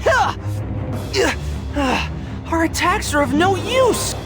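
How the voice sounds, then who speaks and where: A woman voicing a small, plucky and earnest young cookie hero in a game, blunt and excitable. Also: very high voice, slow, heavily acted. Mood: alarmed. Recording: loud music behind the voice.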